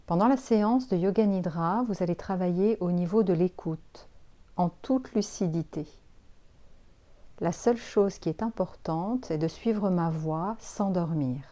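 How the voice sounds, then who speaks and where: Slow, mellow woman guiding relaxation. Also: fairly low pitch. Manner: calm, unhurried, soothing instructions with pauses. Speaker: yoga nidra instructor. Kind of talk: guided relaxation meditation.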